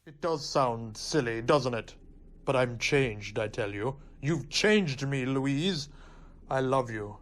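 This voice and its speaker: A man's voice: medium pitch, lively intonation, takes it slowly, a bit bright. A man playing a lovesick, hot-headed society suitor, insistent and melodramatic, in a radio drama. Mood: ardent pleading. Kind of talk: old-time radio drama acting.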